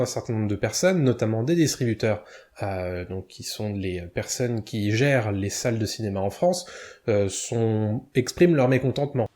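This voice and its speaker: Mellow-voiced man in hesitant commentary. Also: mid-range voice. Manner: hesitant, many fillers and self-corrections; self-deprecating, chuckles at own mispronunciations. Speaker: video commentator. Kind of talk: casual commentary to camera.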